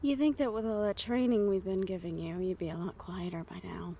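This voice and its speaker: A woman playing a gentle, teasing but worried young siren in an audio roleplay, speaking softly and intimately to the listener. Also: medium pitch, fairly even intonation, brisk, gravelly, a little hiss or hum, natural voice. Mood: playful teasing.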